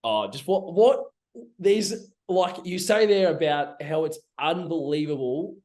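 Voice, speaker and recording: A croaky young Australian man hosts a casual chat, his voice sitting a little high. He sounds emotional and often trips over his words, restarting his sentences. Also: lively intonation, a little slow, a little mellow. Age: young adult. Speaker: podcast host.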